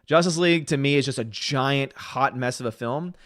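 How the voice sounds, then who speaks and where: A man's voice: medium pitch, fairly bright tone, no echo, clean studio sound. Tired thirty-something man chatting on stream. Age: 30s. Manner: chatty, opinionated, quick asides. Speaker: livestream host reading chat. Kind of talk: casual livestream chat.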